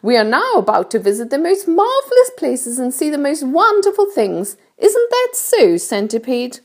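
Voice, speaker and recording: A woman voicing a kind, gentle ladybird in a storybook, explaining things in an assured, reassuring way. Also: high-pitched, wildly swooping pitch, moderate pace, voice pushed up, exaggerated voice. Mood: cheerful.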